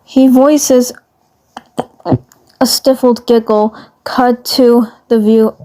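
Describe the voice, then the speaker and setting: A woman narrating a fan fiction story aloud in a halting way, often stumbling and rereading words. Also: a little high, fairly even intonation, warm tone, poor audio.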